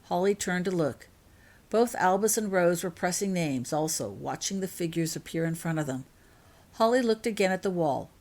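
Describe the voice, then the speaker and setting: A woman's voice: on the low side, lively intonation, moderate pace, a little mellow. A woman reading her own scripted fiction aloud as narrator.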